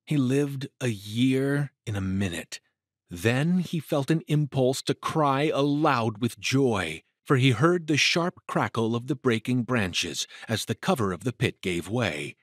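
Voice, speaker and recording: A man narrating an adventure story in a dramatic, deliberate, suspenseful way. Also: medium pitch, expressive, no echo, clean studio sound.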